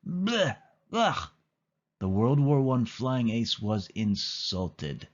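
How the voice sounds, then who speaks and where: A man's voice: fairly low pitch, slow, darkish tone, muffled recording. A man narrating a children's storybook as a scripted read-aloud.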